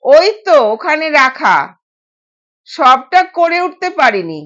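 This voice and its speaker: Woman acting a high-voiced, bossy older mother-in-law. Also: big pitch swings, slow, fairly bright tone, heavily acted. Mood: curt. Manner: commanding, curt. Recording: dull, lo-fi sound.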